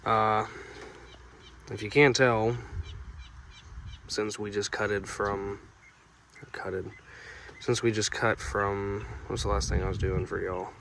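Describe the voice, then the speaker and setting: Raspy young man, rambling garage vlog. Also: on the low side, steady tone, slow, pauses often, a bit bright, slight background noise. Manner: rambling, filler-heavy, self-correcting. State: just woke up. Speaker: truck restoration vlogger. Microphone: phone camera.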